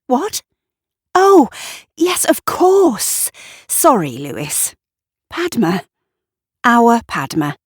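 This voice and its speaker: Woman playing self-assured woman, raised pitch, emphatic, overbearing, theatrical, audio story character, dry sound. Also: huge pitch swings, drawn-out words, pauses often, breathy edge, dry recording, heavily acted. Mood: flustered. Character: brisk, chin-up, self-assured woman rallying mourning neighbours.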